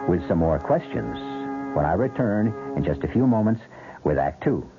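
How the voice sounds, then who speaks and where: A man narrates as the host of a radio mystery, theatrical and rhetorical, with a widely varied pitch. Loud music plays behind him on a thin old broadcast. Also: animated, moderate pace, phone-quality sound. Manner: dramatic, rhetorical questioning. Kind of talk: theatrical scripted suspense narration.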